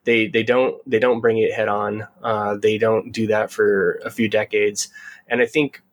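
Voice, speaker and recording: A man's voice: fairly low pitch, level tone, a little slow. A man co-hosting a podcast in casual discussion, thinking aloud in a conciliatory, tentative way. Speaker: podcast host.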